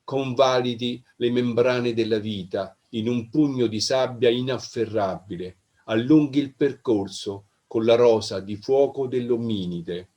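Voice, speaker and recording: A man whose first language is Italian, a translator, reading poetry and asking a halting question in Spanish mixed with Italian. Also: medium pitch, warm tone. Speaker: translator and moderator.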